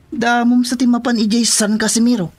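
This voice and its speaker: An actor playing a grumbling, nervous henchman in an Ilocano radio drama, with a husky, strained voice. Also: extremely high pitch, level tone, fairly quick. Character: grumbling, nervous male henchman riding as passenger.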